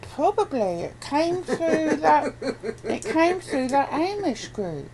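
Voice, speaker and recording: A woman's voice: sits high, sing-song, slow, creaky, over a noisy crowd. A woman chatting casually and conversationally. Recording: faint background noise.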